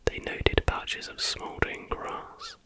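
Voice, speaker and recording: A man whispers softly and without hurry in an informal ASMR narration, as the host of the video. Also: takes it slowly.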